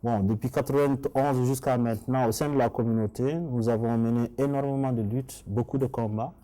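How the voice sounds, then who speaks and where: A man's voice: medium pitch, steady tone, moderate pace, mellow. A middle-aged man from Mali with a Malian accent, giving earnest, formal answers as a political candidate in an interview. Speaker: election candidate being interviewed.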